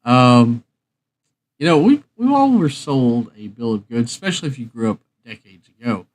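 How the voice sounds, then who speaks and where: A middle-aged man hosting a livestream, chatting casually and thinking aloud in an unhurried, rambling way. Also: medium pitch, big pitch swings. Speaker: livestream host.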